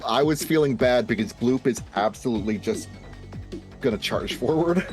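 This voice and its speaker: A player at a tabletop game, apologetic and quick to laugh. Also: mid-range voice, soft background music. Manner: apologetic, giggly, lively. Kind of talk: casual play at the table.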